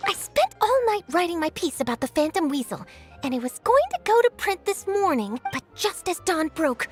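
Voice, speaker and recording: A woman playing an eager young female news reporter in a video game, brisk and nosy with rapid questions. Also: extremely high pitch, very animated. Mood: insistent. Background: game music.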